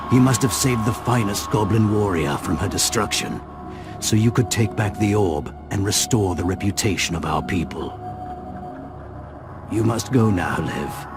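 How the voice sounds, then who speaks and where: An actor playing a pious goblin elder in a video game, speaking in a solemn, portentous way. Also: male voice, lowish voice. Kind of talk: dramatic video game cutscene acting. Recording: voice under loud music.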